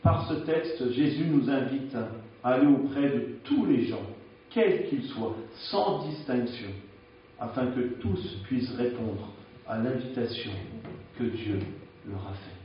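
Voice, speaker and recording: A man's voice: medium pitch, takes it slowly, slight background noise. A male preacher gives a frank, conversational sermon, stumbling now and then over his words. His voice rings through a very echoey church, heard from a distance on a dull, muffled recording.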